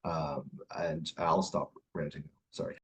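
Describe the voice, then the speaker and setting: A man's voice: very deep voice, flat delivery, a little slow. A man on an academic panel talking informally, tentative and self-deprecating as he works through his ideas.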